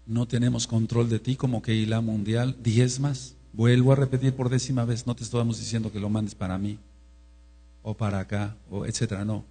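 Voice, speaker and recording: Husky emphatic male preacher, humming recording. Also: fairly low pitch, little pitch movement, moderate pace. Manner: emphatic, rising to loud exclamations. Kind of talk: sermon. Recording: mains hum.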